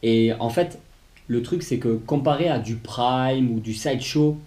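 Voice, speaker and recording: A man's voice: medium pitch, a little slow, mellow. A man hosting a casual collectible review video, a bit congested from a cold and filling his speech with hesitant "euh"s. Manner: hesitant, many "euh" fillers and restarts. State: has a cold, low on voice.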